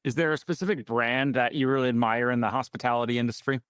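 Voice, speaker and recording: Host: male, friendly storyteller, even pitch, dry close mic. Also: fast, clear, resonant tone, no echo. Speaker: podcast host. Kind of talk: podcast interview.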